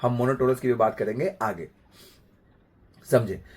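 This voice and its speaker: A man with a slightly husky voice, giving an informal acting lesson in an emphatic way, repeating phrases and checking in with rhetorical questions. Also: mid-range voice, fairly even intonation, unhurried, darkish tone. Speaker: acting coach.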